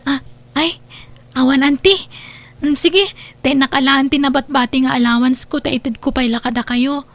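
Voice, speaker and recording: A woman's voice: sits high, fairly even intonation, normal speed, pauses often. A woman with an Ilocano accent playing a sweet, dutiful young student in an audio drama. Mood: uneasy, respectful. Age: young adult. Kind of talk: Ilocano radio-style drama acting.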